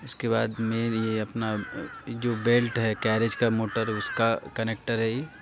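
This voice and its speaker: A man's voice: on the low side, completely flat delivery, a little slow. An Indian man giving a casual hands-on repair tutorial, speaking informally and instructing viewers directly. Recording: dull lo-fi recording with faint noise.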